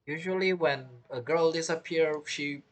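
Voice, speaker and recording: A man's voice: on the high side, moderate pace. A man giving casual, informal gameplay commentary as a streamer, with non-native grammar. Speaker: streamer, gamer. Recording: game music behind the voice.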